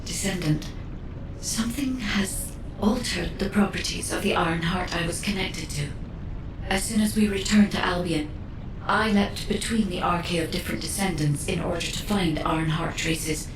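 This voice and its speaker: A woman voicing a solemn, thoughtful otherworldly guide spirit in a video game, measured, earnest and formal. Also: close to an everyday voice. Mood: pained, grave. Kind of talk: video game cutscene acting. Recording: roomy reverb, distant, background rumble.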